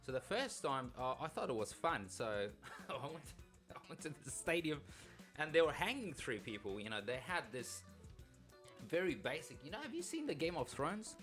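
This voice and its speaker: A man's voice: a little high, sing-song, moderate pace, fairly bright tone. Informal man from Afghanistan, music behind him. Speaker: podcast co-host. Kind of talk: casual personal storytelling.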